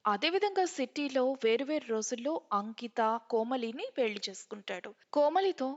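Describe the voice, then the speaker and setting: Female narrator for scripted tales, very high voice, dull recording with long reverb. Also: lively intonation, moderate pace.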